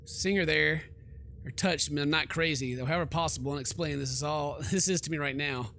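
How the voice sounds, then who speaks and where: A man's voice: a little high, fairly quick, bright, forceful tone, a little hiss or hum. A man hosting a let's play, reading game text aloud and commenting with jokey, theatrical reactions. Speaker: gaming video host.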